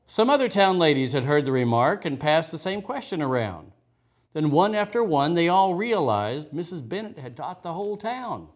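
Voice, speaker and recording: A man reading a rhyming children's story as a storyteller, with expressive, rhythmic delivery. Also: on the high side, normal speed.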